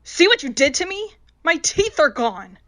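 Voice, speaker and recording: A murdered young woman's ghost in radio horror. Her voice is high and wailing, swinging wildly with accusation. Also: very animated, normal speed, a bit bright, dry recording, studio quality, full character voice. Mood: anguished, accusing.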